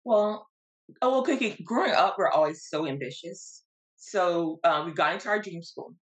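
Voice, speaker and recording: Hoarse, earnest woman coach. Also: lowish voice, animated. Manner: earnest, halting with fillers. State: losing her voice. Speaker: guest, life coach. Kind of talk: interview conversation.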